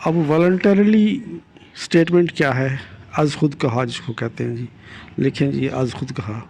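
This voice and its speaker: Slow, creaky-voiced Pakistani man lecturing. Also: mid-range voice, a little mellow, a little hiss or hum. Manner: deliberate, didactic, repeats key phrases, switches into English legal terms. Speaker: retired judge giving legal lessons. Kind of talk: explanatory law lecture.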